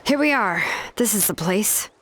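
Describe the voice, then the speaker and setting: A woman's voice: mid-range voice, animated, moderate pace, a bit bright. A woman playing a laid-back but exacting young craftswoman in a video game, explaining things in a casual, matter-of-fact way.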